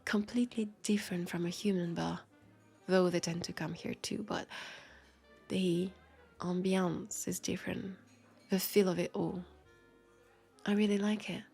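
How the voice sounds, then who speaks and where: A woman playing a teasing, haughty vampire detective with a French accent, in an intimate roleplay audio drama, her dry playful lines spaced with lingering pauses. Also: lowish voice, steady tone, darkish tone, faint music behind. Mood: relaxed, appreciative. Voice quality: husky, breathy with creaky edges. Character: teasing, haughty female vampire detective, sardonic new partner.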